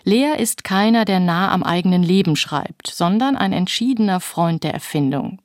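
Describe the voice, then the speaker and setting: A woman presenting a literary radio programme in an articulate, measured, scripted way, close to a studio microphone. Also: lowish voice, expressive, no echo. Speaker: radio host and interviewer.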